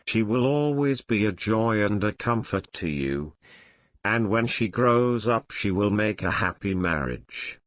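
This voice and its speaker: A man voicing a kindly old woman, a magical godmother in a storybook, gentle and solemn. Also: lowish voice, expressive, unhurried, no echo, studio quality. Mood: solemn, blessing.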